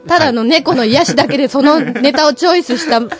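A woman with a high voice chats away quickly in comic banter. She teases and chides, cutting in often, and loud music plays behind her. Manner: teasing, interjecting often. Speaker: voice actress guest on a comedy bar-skit podcast. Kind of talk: playful scolding banter as a bar customer.

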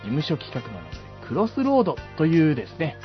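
Animated, higher-voiced man on muffled radio. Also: fast talker. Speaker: guest voice actor. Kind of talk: casual radio talk show chat. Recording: muffled lo-fi audio with music behind. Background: background music.

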